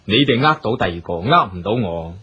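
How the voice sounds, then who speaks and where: An actor playing a bitter, suspicious eldest brother, a wheelchair-bound company boss, scornful and domineering in a radio drama. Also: male voice, lowish voice, lively intonation, fairly quick, husky. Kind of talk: Cantonese radio drama acting. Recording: dull radio drama recording, music behind.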